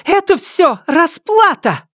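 A man's voice: extremely high pitch, big pitch swings, takes it slowly, a bit bright, dry recording, voice pushed up, heavily acted. A man narrator puts on a frantic, distraught mother. The voice leaps far up and swings wildly, imploring and bitter. It is an audiobook character, recorded dry. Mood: bitter outcry. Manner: crying out, imploring.

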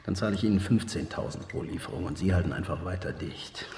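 An actor playing a cynical, menacing smuggler boss in a radio drama, mocking and condescending. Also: male voice, deep voice, fast, gravelly. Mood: smug, commanding. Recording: echoey reverberant room with background noise.

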